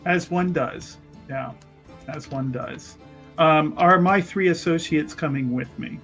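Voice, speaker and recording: Male tabletop player, higher-pitched, casual and unhurried, talking through his character's moves. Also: a bit bright, soft background music. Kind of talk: casual tabletop roleplay chat.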